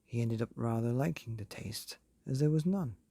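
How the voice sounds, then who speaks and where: A man narrating a bedtime storybook from a script, measured, with deliberate pauses between phrases. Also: medium pitch, vocal fry, dark tone, no room sound. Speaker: narrator of a bedtime stories podcast.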